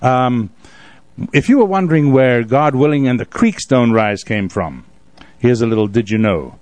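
A man's voice: medium pitch, lively intonation, normal speed, a little mellow, no room sound. A man hosting a live radio show in a casual, folksy and joking way from a studio.

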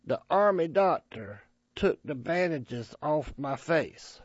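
A man's voice: a little high, expressive, no room sound. A man playing a despairing blinded young soldier. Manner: bitter, slow and despairing. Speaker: wounded soldier. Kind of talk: audio drama acting. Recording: muffled lo-fi recording.

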